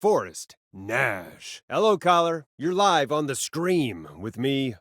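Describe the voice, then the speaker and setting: Game role: a cheeky male late-night rock DJ, medium-low voice, highly animated, theatrical patter, dry close sound. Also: slow, dry recording. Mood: showy, upbeat. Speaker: radio host. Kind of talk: radio DJ patter and game voice lines.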